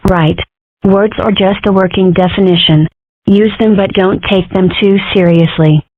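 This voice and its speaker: A woman's voice: mid-range voice, fairly even intonation, a little slow, no echo. A woman reading parts in a fan comic dub in a matter-of-fact, wry way. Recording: dry phone-like audio.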